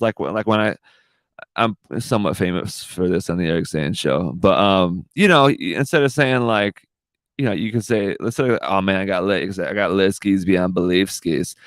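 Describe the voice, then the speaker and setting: A man's voice: lowish voice, no room sound. Rambling, swearing young man on a podcast. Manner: profane, laid-back, rambling, says "dude" often. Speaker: podcast host. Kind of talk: rambling casual monologue. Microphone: studio podcast microphone.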